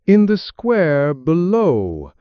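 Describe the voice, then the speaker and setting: A man's voice: on the high side, huge pitch swings, drawn-out words, clean tone, dry recording, muffled recording, pitched above the performer's own voice, heavily acted. A man voicing a sorrowful, generous prince statue in a storybook, speaking solemnly and imploringly.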